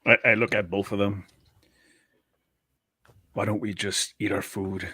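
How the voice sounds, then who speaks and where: A man with a husky voice improvising as a calm, practical military lieutenant in a tabletop roleplaying game. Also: fairly low pitch, unhurried, no echo, studio quality.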